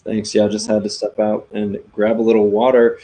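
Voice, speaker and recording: Friendly man co-host, lowish voice. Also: completely flat delivery, fairly quick, mellow, slightly rough. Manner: friendly, welcoming. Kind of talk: casual chat.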